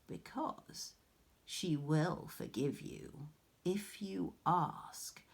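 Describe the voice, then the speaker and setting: A woman voicing a frail old crone in a storybook, with a breathy half-whisper and a drawn-out, admonishing manner. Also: low-pitched, heavily acted. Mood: solemn warning. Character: frail old crone, slow, solemn, warning.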